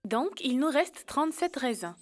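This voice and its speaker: A woman's voice: sits high, sing-song, moderate pace, faint music behind. A woman voicing an earnest, helpful young animal in a French educational cartoon, explaining things step by step.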